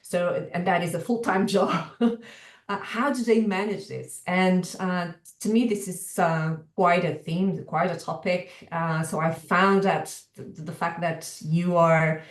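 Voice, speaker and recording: A woman's somewhat low voice comes through roomy and distant from the microphone. She gives reflective academic feedback haltingly, repeating phrases as she works out her question. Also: steady tone. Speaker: participant giving feedback. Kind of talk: reflective spoken comment in an academic discussion.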